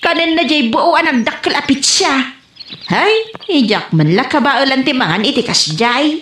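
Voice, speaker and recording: A comical Ilocano village mother, acted by a woman, folksy and nosy in radio comedy style. Her high voice swoops with animation through exclamations in an Ilocano accent. The recording is roomy and echoey. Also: animated, unhurried, a bit bright, a little hiss or hum. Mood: grumbling.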